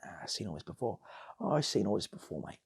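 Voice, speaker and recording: Jaded male PE teacher acted by a storyteller, low gravelly mutter, matey, unfazed, repetitive grumbling, comic tale. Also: wildly swooping pitch, fast talker, full character voice.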